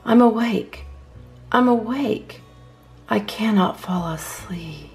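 A woman reading children's poems aloud as a storytime host, with expressive, measured phrasing. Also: mid-range voice, soft background music.

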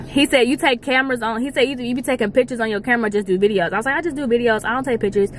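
A woman's voice: on the high side, racing, slight background noise. A woman, chatting and telling a story in a parked car, talking to a dashboard camera. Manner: animated storytelling, talks with her hands. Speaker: vlogger. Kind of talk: casual car chat.

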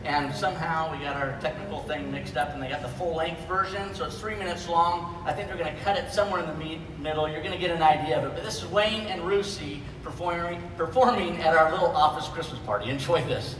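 A man's voice: fairly high pitch, quick pace, few pauses. A middle-aged American man giving an informal stage presentation in a large convention hall, heard from the audience. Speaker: presenter, company executive. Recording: echoey hall from far off, noisy crowd.

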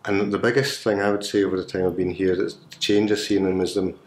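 A man's voice: low voice, flat delivery, quick pace, darkish tone. A man in his forties reflecting informally in an interview, repeating words for emphasis. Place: plain indoor room. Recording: echoey room.

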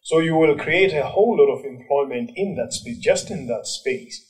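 A man from South Africa, a journalist and cultural commentator, answering at length in an animated way with many fillers and restarts. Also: on the high side, normal speed, distant mic, rough recording. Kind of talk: interview answers.

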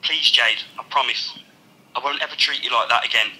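A man's voice: medium pitch, brisk, sharp tone, breathy edge, low-quality recording. A young man acting in a radio drama, playing a pleading, manipulative ex-boyfriend through a telephone filter. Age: young adult. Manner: pleading, persuasive.

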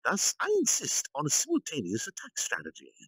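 A man's voice: a little high, huge pitch swings, a little fast, a bit bright, husky, no room sound, pitched above the performer's own voice, exaggerated voice, poor audio. A man voicing a scheming, self-important military commander in a grandiose, theatrical manner for a story reading. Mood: pompous, assured.

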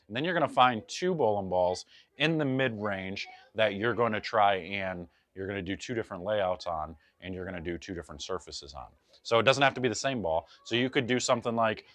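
Low-voiced man giving shop advice. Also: no room sound. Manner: chatty, loose, self-correcting, jokey asides. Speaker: bowling pro shop reviewer. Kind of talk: casual advice vlog to camera. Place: bowling pro shop in front of a ball wall.

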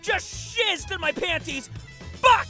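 A woman's voice: extremely high pitch, expressive, slow, bright, forceful tone, faint music behind, full character voice. A woman voicing a hyperactive, exclamatory internet commenter for a comedy video. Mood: manic excitement. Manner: manic, exclamatory.

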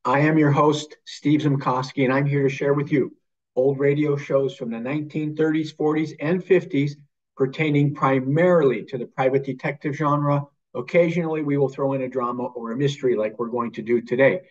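A man's voice: medium pitch, fairly even intonation, fairly quick. An older man speaking as a show host, giving a scripted introduction over a video call. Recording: slightly muffled video-call sound.

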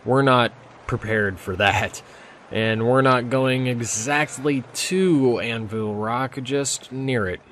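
A man's voice: medium pitch, slow pace, a bit bright, a little hiss or hum. A man playing a young park ranger in an audio drama, casual and easygoing. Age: young adult. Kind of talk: audio drama acting, conversational.

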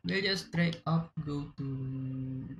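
Slow, gravelly Indonesian-accented streamer. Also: medium pitch, slight background noise. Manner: frequent throat clearing, halting restarts. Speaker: game streamer. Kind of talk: casual let's-play commentary. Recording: echoey room, faint game music behind.

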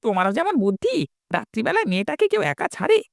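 A woman's voice: high-pitched, big pitch swings, fast talker, creaky, dry recording, clean studio sound, heavily acted. A woman voicing a worried, scolding villager in a cartoon, with a reproachful, fretting manner. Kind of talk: cartoon dialogue acting.

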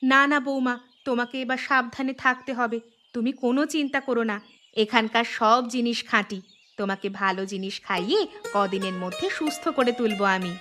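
Woman acting a high-voiced, doting Bengali village mother. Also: normal speed, bright, forceful tone. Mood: caring. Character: doting, chatty village mother and scolding housewife. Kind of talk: Bengali animated story voice acting. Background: plucked-string music under the voice.

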